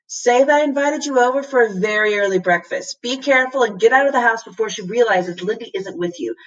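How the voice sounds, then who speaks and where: A woman's voice: a little high, fairly quick, distant mic, dull audio, pitched above the performer's own voice, close to an everyday voice. Woman reading as an urgent, anxious male professor. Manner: urgent, authoritative.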